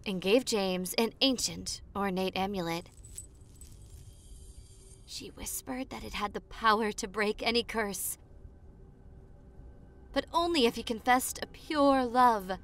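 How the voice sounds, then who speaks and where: Slow-spoken, sweet popular girl, acted by a woman. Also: mid-range voice. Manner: soft, intimate, giggly, telling a spooky story slowly. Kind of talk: ASMR roleplay.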